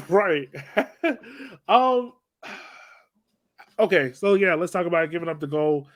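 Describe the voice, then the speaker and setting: Higher-pitched man chatting about sports. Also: animated, slow pace, no echo, clean studio sound. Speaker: podcast host moderating a soccer discussion. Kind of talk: casual sports chat, post-game analysis.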